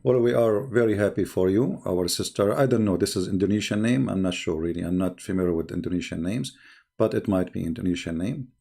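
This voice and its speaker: A man hosting a live broadcast in a casual, halting way, his voice strained and tired. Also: low voice, vocal fry, dark tone. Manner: halting, reads viewer messages aloud slowly. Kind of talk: casual live-stream talk.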